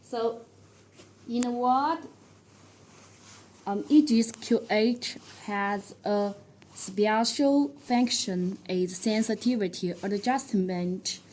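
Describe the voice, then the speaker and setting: A woman who speaks English as a second language, presenting a product tutorial in a halting, step-by-step way. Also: medium pitch, a little mellow, rough recording. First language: not English. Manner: halting, step-by-step delivery with non-native phrasing.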